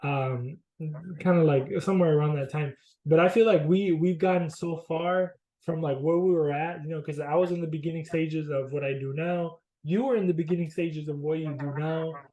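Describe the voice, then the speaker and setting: A young man hosts a podcast in a friendly, loose manner, filling his questions with casual fillers. His voice sits a little high for a man, with a dark, mellow tone. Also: fairly even intonation, moderate pace, muffled recording. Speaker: podcast host. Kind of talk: casual interview chat.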